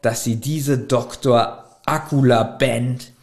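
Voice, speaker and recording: A man's voice: medium pitch, steady tone, slow pace. A man co-hosting a podcast in casual chat, keeps asking his partner questions and reads plot summaries aloud. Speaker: podcast co-host.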